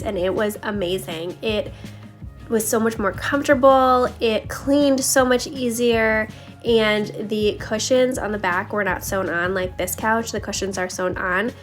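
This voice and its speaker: A woman giving a casual, confiding voice-over narration as a home vlogger, talking straight to viewers with a slightly scratchy voice. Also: a little high. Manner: chatty, confiding, addresses viewers directly. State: slightly scratchy voice, needs to clear throat. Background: background music.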